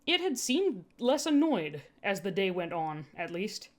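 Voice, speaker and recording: A woman's voice: medium pitch, very animated, unhurried. A woman reading first-person fiction expressively as an amateur narrator, with an informal manner and casual asides. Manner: informal, breaks off to laugh and comment.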